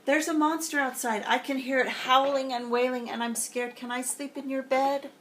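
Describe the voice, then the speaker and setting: Woman plays small frightened bear cub, pleading and high, for a storybook. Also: steady tone, normal speed, fairly bright tone, a little hiss or hum. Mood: scared.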